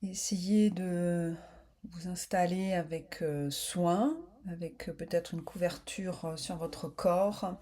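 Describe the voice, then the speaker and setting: A woman yoga nidra teacher guiding a relaxation in a calm, soothing, unhurried way, with slow spoken instructions. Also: fairly low pitch.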